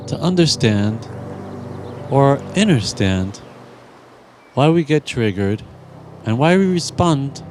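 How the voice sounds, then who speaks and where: Male meditation guide: unhurried, gentle and deliberate, leaving long pauses, set over prominent music. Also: mid-range voice.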